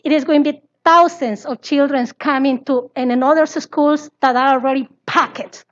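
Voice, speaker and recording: A woman with a Spanish accent, a parent giving an earnest, pleading public comment in halting English. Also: high-pitched, fairly even intonation, lo-fi sound. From Latin America. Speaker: parent speaking at public hearing.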